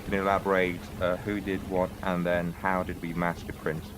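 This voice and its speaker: A man with a very low voice plays a lawyer, putting formal, measured questions to a witness. His voice comes over a game voice chat with a steady layer of noise behind it. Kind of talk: roleplay courtroom questioning.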